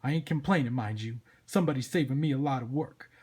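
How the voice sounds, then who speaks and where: A man voicing a loud, exasperated, wisecracking New York police detective from a comic book, with punchy, blustering delivery. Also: medium pitch, fast, husky, lightly acted. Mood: sardonic.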